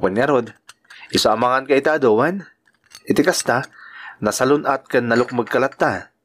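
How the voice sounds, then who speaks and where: A man acts a dutiful young uncle in an Ilocano drama. His voice sits at a middle pitch, with an earnest, soft-hearted delivery and an Ilocano accent. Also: normal speed, close to an everyday voice. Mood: warm, affectionate. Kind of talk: Ilocano audio drama acting.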